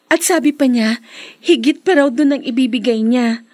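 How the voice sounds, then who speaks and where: High-voiced, eager young Pinky. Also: female voice, moderate pace, a little mellow, dry recording, clean studio sound. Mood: excited, confiding. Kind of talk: Filipino radio drama acting.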